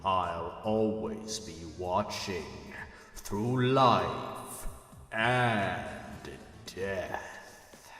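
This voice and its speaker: Casting: man performing a sinister dark angel for horror narration, very slow and gloating, lowered pitch, music behind. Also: reverberant, long reverb tail, heavily acted. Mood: ominous. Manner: slow, drawn-out menace. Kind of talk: horror story character voice.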